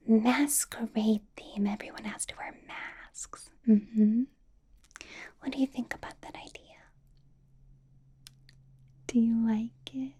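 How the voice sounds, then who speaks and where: A woman voicing a possessive, doting, commanding ancient vampire boss in an ASMR roleplay, in a breathy whisper with coaxing hums. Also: fairly high pitch, mellow, heavily acted.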